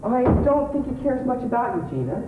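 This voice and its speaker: A man with an English accent playing a scruffy, earnest, smugly analytical suitor on an amateur stage, repeating words haltingly as he explains. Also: very high-pitched, big pitch swings. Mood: smugly reassuring. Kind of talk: amateur stage play acting. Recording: tinny old tape, roomy, background hiss.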